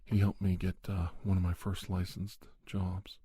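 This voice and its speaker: A man speaking softly in an ASMR monologue, in a halting, reflective manner, as a podcast host. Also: sits low, monotone, breathy edge, dry recording, studio quality. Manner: slow, halting, reflective delivery. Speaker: podcast host playing a conversation counselor. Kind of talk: soft-spoken ASMR monologue.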